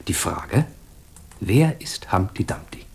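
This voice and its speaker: A man's voice: on the low side, very animated, takes it slowly, vocal fry, a little mellow, a little hiss or hum, heavily acted. A man playing a musing, teasing intellectual in an intimate radio drama dialogue, pensive and playful by turns. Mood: playfully teasing.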